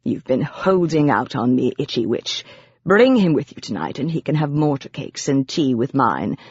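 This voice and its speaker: A woman's voice: extremely low pitch, sing-song, normal speed, a little mellow, slightly rough, dry recording, highs cut off, exaggerated voice. A woman voicing a refined, sarcastic male demon in an audiobook, with a haughty British accent and drawling scorn. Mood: sly sarcasm.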